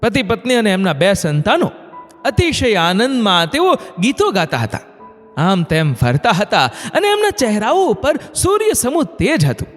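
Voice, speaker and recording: A man narrating a scripted moral story in an expressive, dramatic way. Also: high voice, moderate pace. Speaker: narrator of motivational stories. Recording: long hall reverb, music bed. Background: background music.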